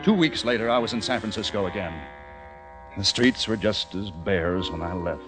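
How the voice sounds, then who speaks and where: An actor playing a haunted, educated young American survivor, narrating intensely in the theatrical style of old-time radio drama. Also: male voice, lowish voice, moderate pace, close to an everyday voice, rough recording. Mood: grim, brooding. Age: young adult. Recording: vintage radio recording with music behind.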